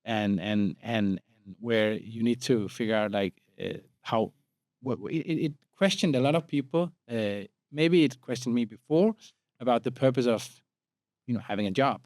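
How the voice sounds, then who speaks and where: A man's voice: mid-range voice, normal speed, a little mellow, no echo, clean studio sound. A man in his late thirties with a Danish accent, talking informally as a podcast guest and often repeating words as he forms his thoughts. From Denmark. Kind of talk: casual conversational interview.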